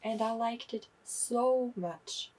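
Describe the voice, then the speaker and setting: Young woman, husky voice, slow and candid book chat. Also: fairly high pitch, darkish tone, recorded from a distance. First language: German. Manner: chatty, candid, self-correcting. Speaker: book vlogger. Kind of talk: casual book review.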